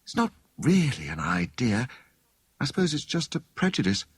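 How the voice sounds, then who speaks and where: A thoughtful, inquisitive young woman sleuth from a storybook, acted out by a male narrator. Her pitch swings widely as she muses over each idea, with a slightly husky edge. The recording is close and dry. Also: wildly swooping pitch, moderate pace, no echo.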